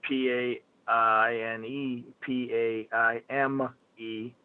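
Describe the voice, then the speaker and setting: A man's voice: medium pitch, flat delivery, slow pace, clear, resonant tone, no room sound. A man with a Philadelphia accent, talking bluntly and profanely on a phone call. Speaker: phone guest. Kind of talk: casual phone conversation. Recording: tinny phone line.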